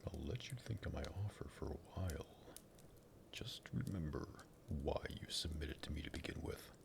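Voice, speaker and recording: A man playing a sadistic, possessive vampire master in an ASMR roleplay, in a breathy, gravelly whisper with a teasing, condescending drawl. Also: rumbling low, lively intonation, moderate pace, full character voice. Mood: possessive, seductive. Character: sadistic vampire master, possessive and menacing, toying with captive lover.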